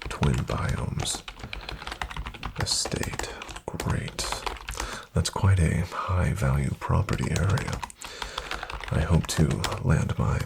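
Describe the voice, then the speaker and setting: A man playing a suave, flattering financial advisor in a soft-spoken ASMR roleplay, hushed, courteous and unhurried, often near a whisper. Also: low-pitched, creaky, noisy background, heavily acted. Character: suave, flattering male financial advisor to wealthy elite clients.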